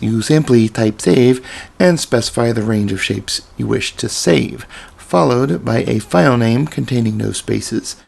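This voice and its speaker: A man with a slightly raspy voice narrates a programming tutorial over a screen recording. He talks in a relaxed, explanatory way with casual asides, at a medium pitch, and his voice often drops into a gravelly fry. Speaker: software tutorial presenter.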